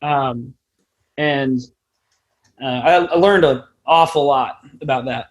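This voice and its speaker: A man's voice: medium pitch, slow. A man in his twenties chatting casually as a musician being interviewed, rambling and self-deprecating. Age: 20s. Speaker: podcast guest, band musician.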